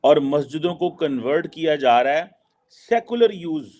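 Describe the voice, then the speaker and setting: Slightly high-voiced male political commentator. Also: expressive, normal speed, a little mellow.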